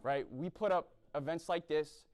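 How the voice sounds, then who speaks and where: A man's voice: fairly high pitch, moderate pace, a little mellow, clean tone. A young man from the East Coast of the US giving a blunt, sermon-style talk and often checking in with "right?". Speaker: speaker at a university chapel event.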